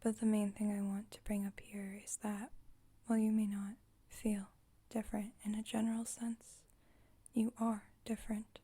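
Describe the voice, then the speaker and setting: A woman playing a calm, formal, caring vampire coven leader in a whispered ASMR roleplay, speaking in a gentle, measured, breathy whisper. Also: medium pitch, lightly acted. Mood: calm, instructive.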